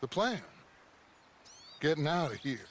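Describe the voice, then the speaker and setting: A man's voice: on the high side, big pitch swings, a bit bright, slight background noise. A man playing a proud, aging American outlaw gang leader in a video game drama, with a grandiose, theatrical way of talking. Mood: scheming. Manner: theatrical, grandiose, measured drawl.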